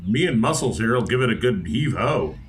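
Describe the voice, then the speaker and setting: A man's voice: medium pitch, lively intonation, brisk, a little hiss or hum. A man playing a tabletop game, joking and bantering in an easygoing way. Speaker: player.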